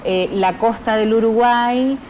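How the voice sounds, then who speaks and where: A woman's voice: fairly high pitch, takes it slowly, darkish tone, a little hiss or hum. Attentive Argentine woman interviewer. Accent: Argentine Spanish. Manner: attentive, gives frequent short backchannels. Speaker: interviewer host. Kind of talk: interview conversation.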